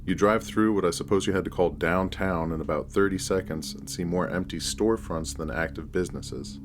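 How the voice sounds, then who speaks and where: A man narrating a scripted first-person horror story in a measured, deliberate storytelling manner. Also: low-pitched, noisy background.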